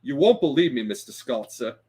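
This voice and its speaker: A man's voice: mid-range voice, animated, a little fast, warm tone, husky. A man voicing a young, deferential but scrappy Cockney bellboy who talks in ungrammatical, indignant bursts in a read-aloud story. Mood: uneasy, earnest. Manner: ungrammatical, peppered with respectful address.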